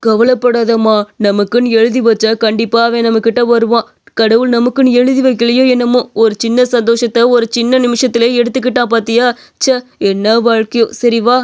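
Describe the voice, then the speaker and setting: Casting: man as poor cartoon husband, smooth high voice, consoling, weary. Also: little pitch movement, fairly quick. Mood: resigned, consoling. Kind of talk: cartoon story dialogue.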